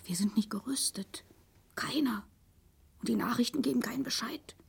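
An actress playing an anxious, sharp-tongued older East German wife with a husky, breathy voice in a grotesque radio drama. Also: female voice, fairly high pitch, moderate pace, a little hiss or hum. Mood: anxious, hushed. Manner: nagging, often dropping to a whisper.

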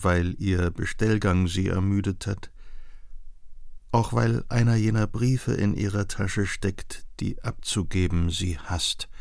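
A male narrator reads literary prose for a radio drama. His voice is low and gravelly, his delivery measured and deliberate, recorded very dry. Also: darkish tone, dry recording.

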